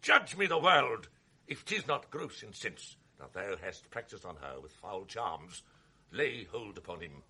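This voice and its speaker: An actor playing an outraged older father and senator in a radio drama, accusing fiercely with a husky voice. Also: on the low side, big pitch swings, a little slow, bright, forceful tone, exaggerated voice. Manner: accusing, fierce rhetorical outbursts. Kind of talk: 1980s radio drama acting.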